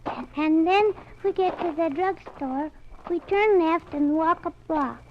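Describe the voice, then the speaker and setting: A woman's voice: very high-pitched, a little slow, a little hiss or hum. A woman playing a sweet, trusting, stubborn little girl in a 1940s radio drama, innocent and literal in manner. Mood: earnest, literal. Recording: muffled vintage 1940s radio recording.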